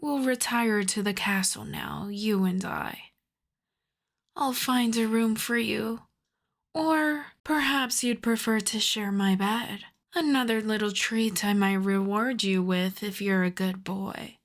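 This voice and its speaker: A woman's voice: medium pitch, fairly even intonation, slow. A woman playing a seductive, possessive vampire countess in an intimate ASMR roleplay, teasing and commanding, often half-whispering. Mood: hungry, possessive. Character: seductive, possessive vampire countess, once human, playfully menacing.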